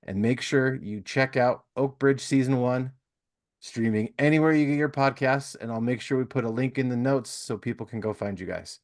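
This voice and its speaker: A man's voice: mid-range voice, moderate pace. A man hosting a casual podcast interview in a folksy, courteous manner, moving between chat and plugs. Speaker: podcast host.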